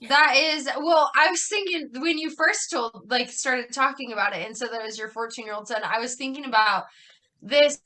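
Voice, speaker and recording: A woman chatting casually as a guest, informal and expressive in how she reacts and tells stories. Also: on the high side. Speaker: teacher, podcast guest. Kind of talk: casual chat.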